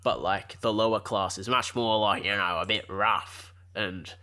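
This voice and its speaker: A man's voice: on the low side, steady tone, unhurried, sharp tone. A man co-hosting a podcast in a casual, laid-back conversational way. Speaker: podcast co-host.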